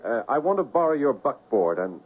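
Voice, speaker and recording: An actor playing a gentle reformed safecracker with hesitant courtesy, in old-time radio drama style. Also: male voice, medium pitch, big pitch swings, a little fast, natural voice. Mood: calm, deliberate. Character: gentle reformed safecracker, a man saying goodbye to his fiancée. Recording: muffled narrow-band radio, faint hiss.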